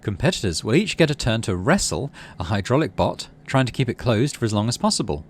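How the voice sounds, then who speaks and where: A man's voice: medium pitch, big pitch swings, brisk, slight background noise. A man hosting a gaming video, reading story text expressively and adding his own casual commentary.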